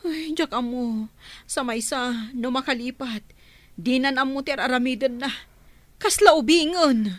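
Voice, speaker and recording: An actress playing a chatty, animated woman on a phone call in a radio drama. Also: high-pitched, a little slow, pauses often, dry recording.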